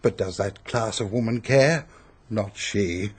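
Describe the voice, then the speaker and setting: An actor in a radio drama playing a pompous, peevish, self-pitying upper-class English hypochondriac who blusters and complains. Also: male voice, on the low side, slow, slightly rough. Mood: indignant, self-pitying.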